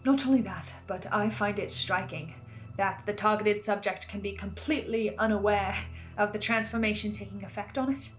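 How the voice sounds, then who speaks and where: A woman's voice: mid-range voice, moderate pace, a bit bright, poor audio. Earnest, theatrical woman narrator over music. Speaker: host of a fan theory video series. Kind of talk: scripted analysis narration with dramatic asides.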